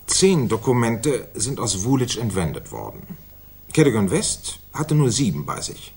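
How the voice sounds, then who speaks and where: An actor in a 1960s German radio drama playing a self-satisfied, authoritative senior government official who speaks formally and deliberately. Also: male voice, mid-range voice, sing-song, a little mellow, husky, full character voice. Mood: grave, confidential.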